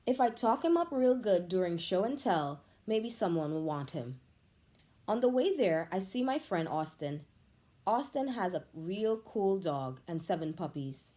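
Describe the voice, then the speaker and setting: A woman, a teacher, reading a children's picture book aloud with expressive, animated storytelling. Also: mid-range voice, a little slow.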